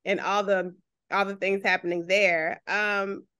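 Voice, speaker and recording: A woman's voice: medium pitch, flat delivery, measured pace, clear, resonant tone. Chatty Texan woman hosting a podcast. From Texas, USA. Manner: warm, chatty, restarts phrases, laughs often. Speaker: podcast host, therapist. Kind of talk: casual podcast interview.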